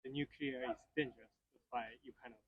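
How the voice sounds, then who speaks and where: A man interviewing a tourist who asks short questions in simple, halting phrasing. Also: mid-range voice, huge pitch swings, vocal fry, dry recording, phone-quality sound. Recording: quiet and off-mic.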